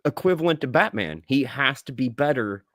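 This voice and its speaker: Man, podcast host, lively swooping intonation, dry sound, casual review chat, expressive, slangy. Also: mid-range voice, moderate pace, no echo. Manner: expressive, slangy, acts out reactions.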